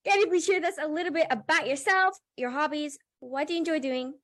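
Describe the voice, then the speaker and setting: A woman's voice: high-pitched, quick pace, a bit bright. A young woman hosting a podcast, running a casual interview in an enthusiastic, upbeat way. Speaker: podcast host.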